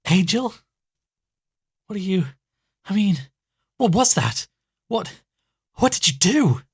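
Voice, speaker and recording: A man's voice: high voice, sing-song, slow, husky, dry recording, studio quality, exaggerated voice. An actor playing an anxious man caught up in an occult mystery, speaking in a hesitant, questioning way in an audio drama. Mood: startled, bewildered.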